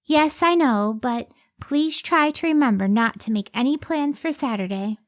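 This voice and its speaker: A woman's voice: high-pitched, normal speed, close to an everyday voice. A woman playing a devoted, hard-working scientist wife in an old time radio drama. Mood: fond, gently chiding.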